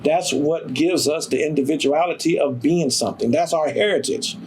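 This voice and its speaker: A middle-aged man, speaking in a slightly higher register than most men. He talks in a streetwise, emphatic and profane way, as in a documentary interview. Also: moderate pace, darkish tone, a little hiss or hum, low-quality recording. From Detroit, USA. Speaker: sneaker store owner.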